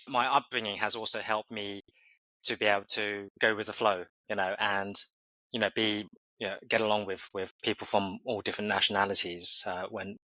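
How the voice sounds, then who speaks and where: Bright-voiced English man, thoughtful guest. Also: lowish voice, no room sound, rough recording. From England. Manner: thoughtful, many fillers and restarts. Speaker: podcast guest. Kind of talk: conversational interview answers.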